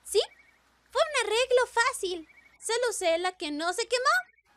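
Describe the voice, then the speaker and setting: A woman voicing a sweet, mischievous little demon girl in a cartoon dub, squeaky and childlike. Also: lively intonation, a little slow, a bit bright, a little hiss or hum, exaggerated voice. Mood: pleased, innocent. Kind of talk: cartoon fan dub acting. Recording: music behind the voice.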